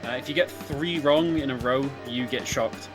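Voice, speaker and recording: A man's voice: medium pitch, normal speed. A man giving casual, chatty co-commentary on a video game, explaining it hesitantly with some light joking. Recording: game music behind the voice. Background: video game music.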